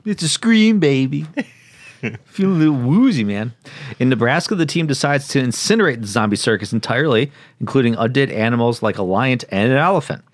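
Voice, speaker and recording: A man's voice: medium pitch, lively intonation, a little fast, no echo. Casual joking man, dry close recording. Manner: profane, joking, laughs often. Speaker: podcast co-host. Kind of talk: casual comedic banter while recapping a story.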